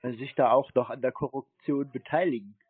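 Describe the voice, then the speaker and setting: A man's voice: mid-range voice, steady tone, a little fast, slightly rough, no room sound. A man in an unscripted phone argument, blunt and contrary, throwing accusations at the caller over a phone line. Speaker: scam-baiter answering a cold call. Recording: muffled narrow-band phone call.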